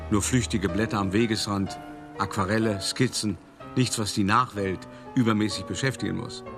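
An actor playing a cultured, self-satisfied male art forger, confessing in a measured, reflective, articulate radio drama monologue. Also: fairly low pitch. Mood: quietly scheming. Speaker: first-person narrator.

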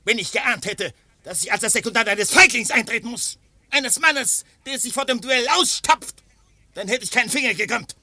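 Blustering colonel, radio play, extremely high sharp voice, pompous, indignant, dry sound. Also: male voice, normal speed, no echo, full character voice. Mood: outraged. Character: pompous, indignant male colonel, a marquis's second.

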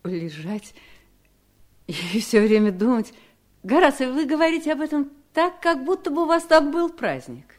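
An actress playing a scheming, coolly polite wife in a radio play, speaking with measured, pointed irony. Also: female voice, sits high, very animated. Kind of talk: 1970s Soviet radio play acting.

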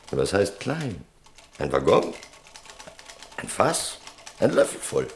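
Radio play role: evasive, pompous male merchant. Very deep, creaky, lecturing and insinuating. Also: wildly swooping pitch, a little hiss or hum, full character voice. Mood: sly, evasive. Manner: lecturing, insinuating, drawn-out emphasis. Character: evasive, self-important merchant Don Fernandez. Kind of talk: German radio play acting.

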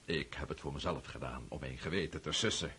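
Husky, scheming aristocratic prince. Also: male voice, very deep voice, brisk, sharp tone, heavily acted. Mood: insistent, glib. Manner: glib, overriding, punctuates with "hm?". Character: suave, pushy, scheming older aristocratic prince. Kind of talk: radio drama acting in Dutch.

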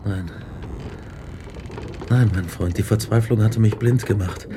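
A haunted man of science as a man acts him in a radio play, confessing in a low, grave voice. He sounds brooding and despairing, over loud background noise. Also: unhurried, natural voice. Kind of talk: radio drama narration.

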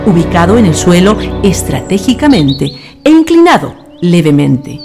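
A woman narrates a radio drama from a script. Her voice is on the low side and moves up and down a lot, with clear music beneath. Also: big pitch swings, normal speed, dark tone.

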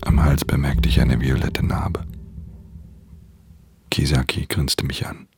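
Wary tired pickpocket in a radio play, super low creaky voice, hushed n terse, music behind. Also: male voice, level tone, slow, whispered, exaggerated voice. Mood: hushed, tense. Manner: terse, hushed, matter-of-fact. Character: wary, world-weary male pickpocket narrating his crimes. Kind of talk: German radio play narration and dialogue. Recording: music under the voice.

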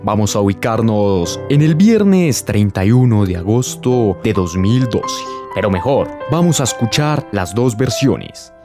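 A man's voice: on the low side, very animated, unhurried, hardly any pauses, over music. A man giving scripted, dramatic narration as the host of a radio drama.

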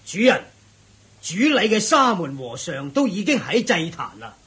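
A man's voice: sits high, very animated, a little slow, fairly bright tone, exaggerated voice. A man with a Hong Kong Cantonese accent playing a dutiful, deferential household steward in a radio drama, speaking ceremoniously.

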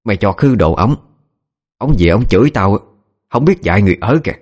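A man's voice: lowish voice, animated, normal speed, a little mellow, slightly rough. A man voicing a cruel middle-aged mistress of a rich household, berating in a Southern Vietnamese accent in an audio story. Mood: furious. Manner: berating, snarling word by word.

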